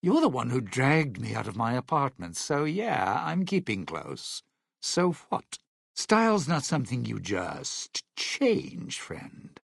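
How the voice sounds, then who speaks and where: Casting: a world-weary, sardonic showman in a video game; husky male voice, dry close sound, old-time slang and sly patter. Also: medium pitch, huge pitch swings, slow pace, dry recording, full character voice. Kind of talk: video game companion voice lines.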